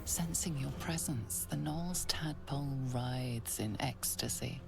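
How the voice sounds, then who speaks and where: A woman playing a grave, menacing narrator of a dark fantasy game, speaking with slow deliberation over loud music. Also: a bass voice, gravelly, heavily acted. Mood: grave, ominous. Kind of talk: dramatic second-person game narration.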